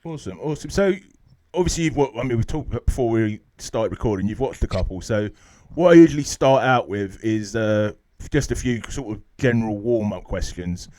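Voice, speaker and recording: A man's voice: lowish voice, animated, fairly quick, a little mellow. A man hosting a casual interview in a friendly, chatty way, addressing the guest as mate. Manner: friendly, complimentary, says "mate". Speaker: interview host.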